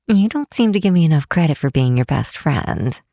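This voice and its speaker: A woman acts out a caring best friend in an intimate ASMR roleplay. She talks very slowly and gently, pausing often, with a teasing fondness. The sound is close and dry. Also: fairly low pitch, no echo, exaggerated voice. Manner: hesitant, gentle, unhurried with pauses.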